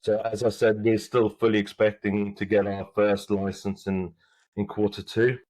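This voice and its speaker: A man with a low voice gives interview answers about his work. He speaks haltingly, often restarting words. The sound is dry and close, with no room around it. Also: level tone, darkish tone, dry recording. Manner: halting, many restarts and fillers. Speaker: mining company executive, guest.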